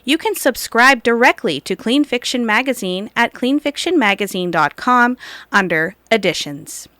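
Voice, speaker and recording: Clear, smooth woman reading a promo. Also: fairly high pitch, lively intonation, moderate pace, pushed and bright, no echo. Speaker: magazine publisher. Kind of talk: scripted promotional announcement.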